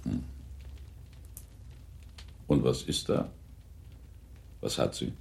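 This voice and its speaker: A man's voice: very deep voice, drawn-out words, creaky, darkish tone, exaggerated voice. An actor playing a laconic, evasive aristocratic father in a radio play, answering in short, dry, noncommittal remarks. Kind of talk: 1960s German radio drama acting.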